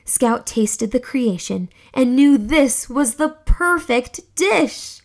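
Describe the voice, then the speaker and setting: A young woman reading a children's story aloud in a theatrical, expressive storytelling manner. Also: high-pitched, slow. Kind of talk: expressive scripted read-aloud narration for children.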